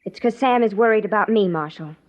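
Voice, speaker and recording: An actress playing an anxious, pleading young farm wife in an old-time radio western. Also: female voice, medium pitch, fairly quick, close to an everyday voice. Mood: anxious, guilty. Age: young adult. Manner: pleading, self-blaming. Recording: muffled old radio recording.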